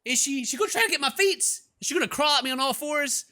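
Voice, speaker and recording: Animated, high-pitched male gaming host. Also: fast, no echo, clean studio sound. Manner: playful, exclamatory, theatrical. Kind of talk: animated let's play commentary, reading game text aloud. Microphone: close microphone at a desk. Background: faint game music.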